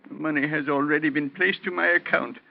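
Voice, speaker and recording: Sick old aristocrat, high creaky voice, formal, proud, bitter, vintage radio drama, lo-fi sound. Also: male voice, steady tone, brisk. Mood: quietly defiant. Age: older. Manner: formal, courtly. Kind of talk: 1940s radio acting. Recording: dull, crackly old radio recording.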